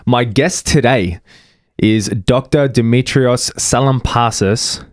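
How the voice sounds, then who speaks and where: A man hosting a casual podcast interview, friendly and chatty, often pausing on fillers. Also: medium pitch, dry recording, clean studio sound. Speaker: podcast host.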